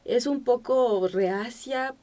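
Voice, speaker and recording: Lively Mexican woman, high-pitched. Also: slow pace. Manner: animated, acts out quoted remarks. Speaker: guest. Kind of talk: casual conversation.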